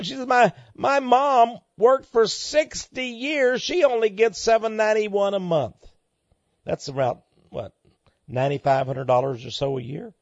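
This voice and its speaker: Male radio preacher type, impassioned and repetitive for emphasis, very animated delivery, dry but slightly muffled sound. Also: sits high, no echo. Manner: emphatic, dramatic, repeats phrases for effect. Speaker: talk show host and preacher. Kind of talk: impassioned monologue to listeners.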